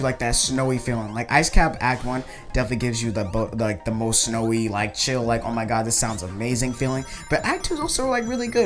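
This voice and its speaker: Casual game reviewer, mid-range voice of unclear gender, gushing and rambling, music playing behind. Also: mid-range voice. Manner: enthusiastic, emphatic, rambling. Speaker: video creator reviewing a game.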